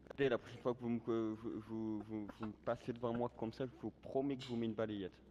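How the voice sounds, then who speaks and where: Fast-talking bossy man, noisy voice chat. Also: medium pitch, little pitch movement, dark tone, muffled recording. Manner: bossy, formal orders mixed with threats. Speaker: player acting as a police commander. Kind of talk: improvised online role-play chat. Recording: gaming voice chat with background noise.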